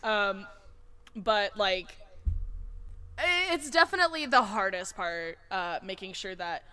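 A woman's voice: mid-range voice, lively intonation, slow, pushed and bright. A woman chatting casually in a cast Q&A as a voice actor, with a jokey, giggly, self-deprecating manner. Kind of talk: casual group chat.

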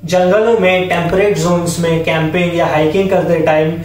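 A man's voice: a little high, fairly even intonation, normal speed, a little mellow, soft background music. A young adult man with an Indian accent explaining gear to viewers in an instructive, friendly-address vlog style. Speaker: vlogger presenting camping and hiking gear. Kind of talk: explanatory product showcase to camera, Hindi mixed with English. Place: indoors, bare home room with wooden floor. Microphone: camera or phone a few metres away. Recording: echoey bare room, distant camera microphone.

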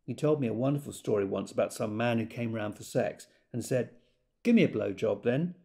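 A man's voice: mid-range voice, expressive, a little fast, vocal fry, mellow. An actor playing a wry, gossipy man telling anecdotes in an audio drama monologue. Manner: wry, storytelling.

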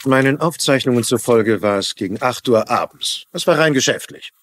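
Man acting curt tycoon, formal, dismissive, medium pitch, crime drama. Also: animated, moderate pace, no echo, lightly acted. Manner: brusque, formal. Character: curt, busy, dismissive male business tycoon.

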